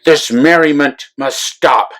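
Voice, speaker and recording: Man as scheming rich landowner, slow delivery. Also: a little high, fairly bright tone. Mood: annoyed. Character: rich, scheming male landowner, smoothly false generosity.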